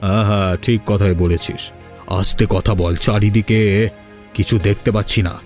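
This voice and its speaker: A man's voice: lowish voice, fairly even intonation, moderate pace, a little mellow, soft background music, close to an everyday voice. A man voicing a patronising, grumbling boss of crop thieves in a cartoon. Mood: furtive, grumbling. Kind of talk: Bengali cartoon voice acting.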